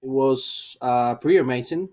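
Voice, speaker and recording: A middle-aged man from Cuba with a Cuban Spanish accent, a non-native English speaker, telling his story as an interview guest with many pauses and fillers. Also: medium pitch, dark tone. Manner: halting, searching for words, many fillers. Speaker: guest, IT professional. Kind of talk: interview answers.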